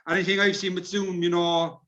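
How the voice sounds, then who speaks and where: A man's voice: high voice, flat delivery, a little fast, a bit bright. An Irish man giving casual practical advice in an online discussion, on dull lo-fi call audio. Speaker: workshop speaker advising on recording.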